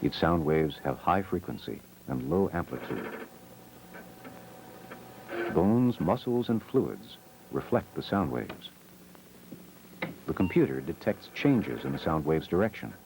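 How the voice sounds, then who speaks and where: Deep, creaky-voiced male educational narrator. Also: very animated, noisy background. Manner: measured, short simple explanatory sentences. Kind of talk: scripted educational film narration. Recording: old VHS educational film soundtrack. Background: music.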